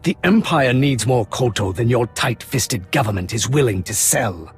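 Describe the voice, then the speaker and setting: An actor playing a stern, impatient military colonel in a video game, clipped and commanding. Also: male voice, medium pitch, expressive, moderate pace, soft background music, close to an everyday voice. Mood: defiant.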